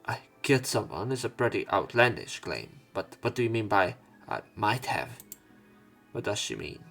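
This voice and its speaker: A man with a fairly low voice narrates a visual novel as a let's player. He reads dialogue expressively and comments in a casual, blunt way. Soft game music plays behind him. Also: normal speed, gravelly. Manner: sweary reactions, expressive dialogue reading.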